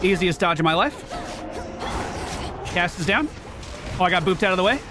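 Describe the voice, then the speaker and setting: Male gaming host, animated, slightly high voice, casual, playful, thinking aloud, faint music behind. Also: moderate pace. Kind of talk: casual game commentary.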